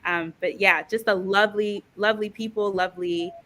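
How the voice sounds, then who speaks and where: Bright, flat-voiced woman guest. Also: mid-range voice, moderate pace. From USA. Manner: soft-spoken, frequent ums and you knows. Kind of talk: casual interview reflection.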